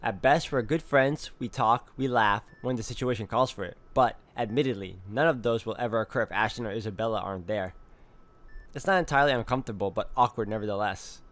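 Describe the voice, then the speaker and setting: A man reading introspective first-person visual novel narration in a measured way. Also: on the low side, fairly bright tone. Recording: faint music under the voice. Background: music.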